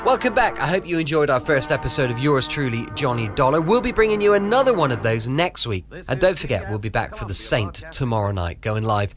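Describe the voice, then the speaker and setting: A man's voice: mid-range voice, sing-song, fairly quick, hardly any pauses, music in the background. A man hosting an old-time radio programme, presenting to his listeners.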